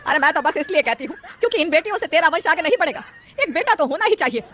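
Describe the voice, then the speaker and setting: A woman's voice: sits high, very animated, quick pace, a bit bright, slight background noise, pitched above the performer's own voice. A woman voicing a stubborn, nagging elderly mother-in-law in a cartoon story, scolding and insistent. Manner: scolding, insistent, rhetorical questions.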